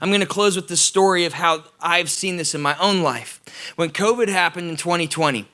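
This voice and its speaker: A young adult man preaching an energetic, casual sermon to students, addressing them directly. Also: sits high, a little fast. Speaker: youth pastor.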